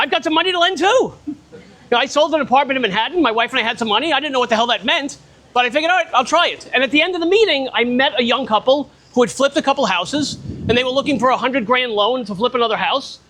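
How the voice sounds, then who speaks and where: A man with a loud voice giving a casual, blunt talk at an investor meetup, speaking without a microphone in a restaurant. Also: very high voice, fast talker. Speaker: guest speaker on private lending. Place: restaurant event space in the rain.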